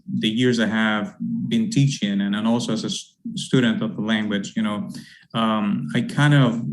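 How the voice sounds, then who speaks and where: A man's voice: fairly low pitch, low-quality recording. A middle-aged man, a university English teacher giving an academic presentation, rambling with many fillers and repeated words. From Honduras. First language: Spanish.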